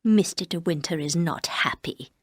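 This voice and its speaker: A woman puts on a bitter, menacing housekeeper who drags her words out slowly and sinks into a hard whisper. The voice sits a touch lower than the reader's own. It is recorded close and dry. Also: breathy edge, no room sound. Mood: cold menace. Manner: slow, low, cold, often whispered.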